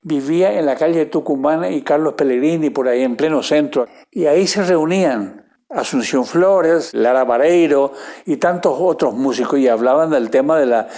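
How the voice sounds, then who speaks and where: A man's voice: a little high, moderate pace, warm tone. A man reminiscing in an interview, telling his memories in conversational speech.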